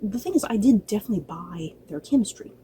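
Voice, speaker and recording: A woman's voice: medium pitch, animated, fast, warm tone, a little hiss or hum. A woman giving a casual, chatty review, speaking in a gushing, emphatic and informal way. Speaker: book and drama reviewer.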